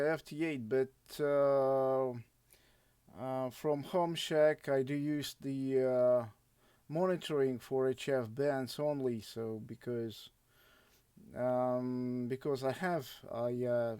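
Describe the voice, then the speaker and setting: A man with a Russian accent chatting unscripted as an amateur radio operator, speaking in a halting, hesitant way. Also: mid-range voice, warm tone, clean tone, no echo. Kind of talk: unscripted radio contact chat.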